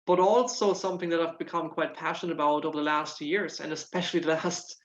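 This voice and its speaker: A man's voice: a little high, steady tone, fast. German-accented man on a dull call line. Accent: German with some Irish. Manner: earnest, repeats words while searching for them. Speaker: guest, nutritional therapist. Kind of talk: casual interview answers.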